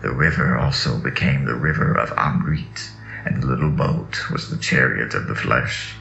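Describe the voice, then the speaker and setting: Male reader, solemn and incantatory, very low and unhurried, echoey recording with music behind. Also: creaky, pushed and bright. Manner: slow, incantatory, dramatic. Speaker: reader of sacred texts. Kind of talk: solemn scripted reading of mystical scripture. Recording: echoey, dull audio under music.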